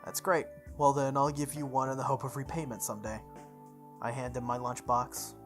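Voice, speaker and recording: Man, let's play host, expressive story narration, casual asides, occasional stumbles, game music behind. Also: medium pitch, normal speed. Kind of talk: visual novel read-aloud with casual asides.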